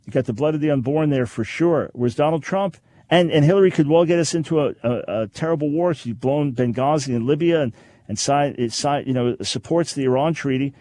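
A man's voice: a little high, mellow, no echo. Man hosting a call-in radio show. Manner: measured, explanatory, repeats for emphasis. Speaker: radio talk show host. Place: radio studio. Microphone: studio microphone.

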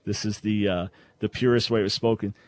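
A man's voice: on the low side, fairly even intonation, fairly quick, darkish tone, no room sound. A man hosting a call-in radio show, explaining Bible languages in a scholarly way with frequent restarts. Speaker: radio talk show host.

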